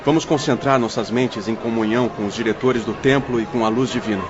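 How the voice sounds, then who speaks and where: A man's voice: medium pitch, fairly even intonation, brisk, close to an everyday voice. A man playing a resolute, pious missionary spirit leader in an audio drama, firm and exhorting. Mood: urgent resolve. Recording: dull audio with clear background noise.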